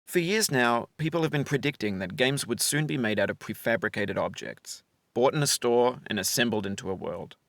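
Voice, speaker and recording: A man giving reflective scripted narration as a game developer, in a measured, articulate, philosophical manner. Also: mid-range voice, creaky, fairly bright tone, no echo.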